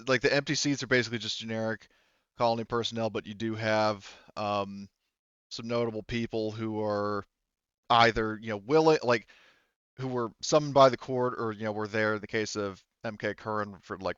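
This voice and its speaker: A man running a tabletop game session as game master, narrating informally and haltingly with frequent self-corrections. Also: lowish voice, fairly bright tone, smooth, no room sound, lo-fi sound.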